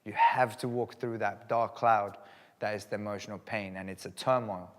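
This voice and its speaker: A man's voice: fairly low pitch, steady tone, moderate pace. A man from Sweden giving an earnest, emphatic personal speech to a live audience, repeating phrases for weight. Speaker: live event speaker. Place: event hall. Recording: long hall reverb.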